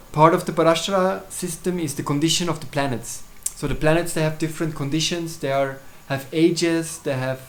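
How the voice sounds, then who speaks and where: A man from Switzerland whose first language is German, speaking English in a casual solo video about his astrology services, halting often with fillers and restarts. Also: a little high.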